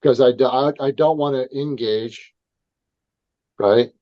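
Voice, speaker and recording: A man's voice: medium pitch, takes it slowly, dry recording. Earnest man on a dull, dry line. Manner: earnest, explains with hypothetical quotes. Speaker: self-defense instructor guest. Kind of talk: casual podcast conversation.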